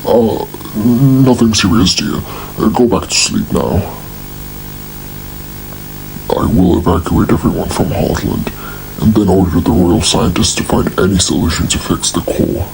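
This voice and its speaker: Man as gravelly, beleaguered monster king. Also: low-pitched, measured pace, darkish tone, a little hiss or hum, low-quality recording. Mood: subdued, reassuring. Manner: hesitant, pleading. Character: beleaguered monster king, defensive weary husband and father.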